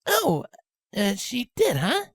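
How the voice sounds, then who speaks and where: A woman's voice: fairly high pitch, wildly swooping pitch, slow, husky, no room sound, clean studio sound, pitched above the performer's own voice, full character voice. A woman voicing a brash but sheepish young female pegasus athlete, hesitant and protesting, in a story.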